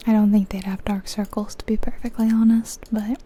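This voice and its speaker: A woman whispering softly and breathily in an ASMR style, gently musing aloud as she comments on a video game. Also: medium pitch, flat delivery, a little fast, slightly rough.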